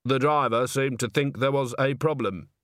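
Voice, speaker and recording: A man's voice: mid-range voice, moderate pace, fairly bright tone, dry recording, close to an everyday voice. A man playing a dry, ironic coach agent.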